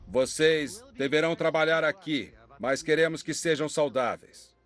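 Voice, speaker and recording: A man voices a cold, falsely polite camp officer in a dubbed documentary reenactment. His voice sits a bit high and sounds smooth but menacing, and he barks harsh orders. Faint music plays beneath him. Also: moderate pace, a bit bright, natural voice. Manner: smooth false courtesy turning to barked orders.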